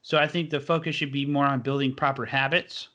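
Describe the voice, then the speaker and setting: A man's voice: mid-range voice, flat delivery, brisk, pushed and bright, muffled recording. A young man hosting a podcast who talks casually and enthusiastically and rambles with many fillers. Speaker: podcast host.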